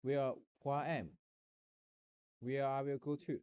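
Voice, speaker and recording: A man streaming a rambling philosophical monologue, halting and searching for words, often restarting and repeating himself. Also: mid-range voice, flat delivery, clear, resonant tone, no echo, narrow, tinny audio.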